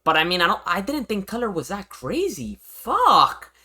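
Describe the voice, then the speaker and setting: A man's voice: sits high, huge pitch swings, moderate pace, bright, forceful tone. A young man from Venezuela reacting on a livestream in casual, slangy, repetitive talk with plenty of swearing. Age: young adult. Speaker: streamer reacting to a video.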